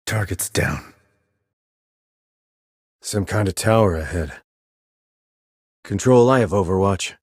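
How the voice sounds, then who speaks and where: An actor playing a terse, cool-headed sniper operative in a video game, with clipped, controlled military delivery and a low husky voice. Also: male voice, lively intonation, takes it slowly, long pauses, dry recording, studio quality, heavily acted. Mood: focused, controlled. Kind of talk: military radio chatter, game dialogue.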